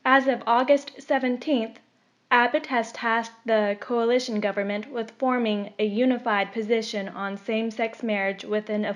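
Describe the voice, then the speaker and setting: A woman reading a scripted opinion article aloud in a measured, formal delivery. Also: medium pitch. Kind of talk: scripted narration. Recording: dull, lo-fi recording.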